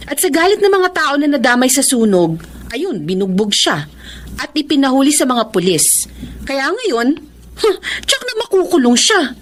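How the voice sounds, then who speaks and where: An actress playing a Filipina friend passing on gossip in a radio drama. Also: female voice, high-pitched, sing-song, moderate pace, slight background noise. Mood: gossipy, dramatic. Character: gossiping woman friend relaying shocking news.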